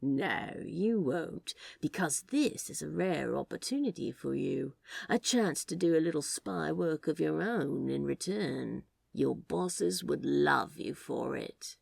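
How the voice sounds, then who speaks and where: A woman's voice: deep voice, lively intonation, takes it slowly, gravelly, darkish tone, dry recording, studio quality, full character voice. A woman voicing a sly, laid-back male office worker who plays at being a spy, with a drawling, hesitant, conspiratorial manner. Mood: teasing.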